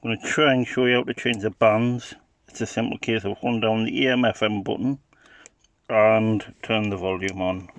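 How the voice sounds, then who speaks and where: A man's voice: on the low side, fairly even intonation, moderate pace, a little hiss or hum. A man giving an informal, unscripted gadget demonstration in a hesitant, matter-of-fact way. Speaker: hobbyist product demonstrator.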